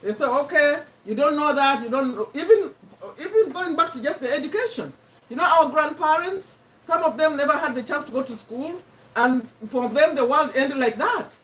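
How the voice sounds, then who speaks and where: A woman with a Ugandan accent hosting a casual live stream, chatting to viewers in an animated way and repeating herself for emphasis. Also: high-pitched, moderate pace. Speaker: host of a live personal development discussion. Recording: voice somewhat far from the mic.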